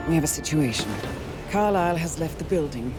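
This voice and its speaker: A woman playing a composed, authoritative intelligence handler in a video game, giving a formal, measured mission briefing. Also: low-pitched, lively intonation, over loud music. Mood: serious, focused.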